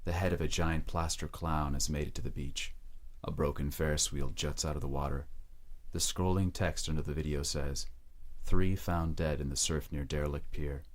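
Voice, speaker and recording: A man narrating an audio drama in a measured, deliberate, scripted storytelling style. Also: a bass voice.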